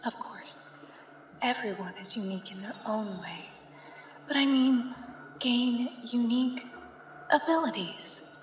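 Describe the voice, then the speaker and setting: A woman's voice: fairly high pitch, close to an everyday voice. Woman acting as an echoing, uncanny government examiner. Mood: formal, persuasive. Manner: measured, formal, hushed. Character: soothing, uncanny female government examiner at Area 51. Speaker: ASMR roleplay performer. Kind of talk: soft-spoken ASMR sci-fi roleplay. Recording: echoey long reverb, faint background noise. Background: sci-fi ambience.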